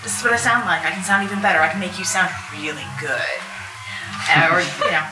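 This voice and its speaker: A woman's voice: on the low side, brisk, music in the background. A woman guest on an outdoor panel, talking casually and acting out bits of her stories. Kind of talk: casual live panel chat. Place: outdoor patio at a convention. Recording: roomy, distant outdoor live panel recording.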